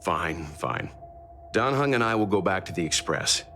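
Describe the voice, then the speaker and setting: A man voicing a calm, fatherly, thoughtful middle-aged mentor in a video game. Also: low-pitched, moderate pace, soft background music. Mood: gently guiding.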